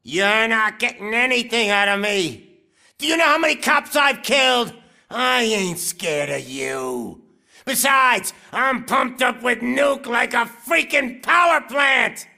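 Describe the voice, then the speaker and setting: An actor playing a crude, foul-mouthed, boastful gang boss in a video game, shouting. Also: male voice, very high-pitched, animated, takes it slowly, vocal fry, exaggerated voice. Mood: panicked. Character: crude, drug-fueled male gang boss showman. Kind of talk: video game cutscene acting. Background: loud music.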